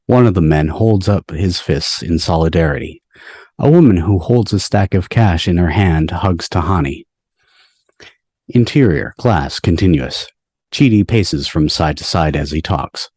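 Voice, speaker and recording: A man's voice: low-pitched, expressive, measured pace, a little mellow, dry recording, clean studio sound. A man narrating scene headings and stage directions from a script at a table read. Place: home, on a video call.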